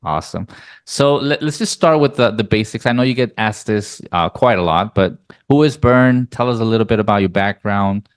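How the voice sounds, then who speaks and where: A male podcast host with a very deep, smooth voice. He asks friendly, loose questions full of "you know"s. The sound is dry and close. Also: moderate pace, no echo. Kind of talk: casual interview.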